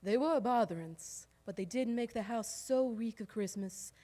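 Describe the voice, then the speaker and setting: A woman's voice: fairly high pitch, normal speed, mellow, lightly acted. A woman playing a harried, proud old-time household woman, telling a wry, emphatic theatrical monologue that lingers over its lists. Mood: nostalgic. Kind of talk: theatrical storytelling monologue on stage. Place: live stage performance in a recreation hall.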